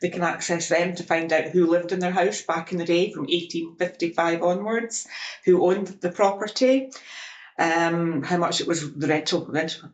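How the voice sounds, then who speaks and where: A woman's voice: fairly low pitch, normal speed, echoey room, a little hiss or hum. A woman from the west coast of Scotland with a Scottish accent, a librarian listing her archive's resources. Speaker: local studies librarian. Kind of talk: informative podcast talk.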